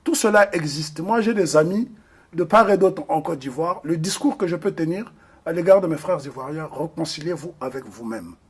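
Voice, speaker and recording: A man's voice: a little high, expressive, moderate pace, breathy edge. A man from the Republic of the Congo, speaking as an interview guest in an earnest, emphatic way with frequent hesitations. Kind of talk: interview discussion.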